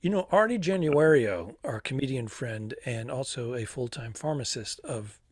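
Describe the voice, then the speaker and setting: A man co-hosting a comedy podcast from a cruise ship cabin, telling stories with deadpan punchlines in a slightly raspy voice. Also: mid-range voice, moderate pace, dry recording, clean studio sound. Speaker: podcast co-host. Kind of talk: casual comedic chat.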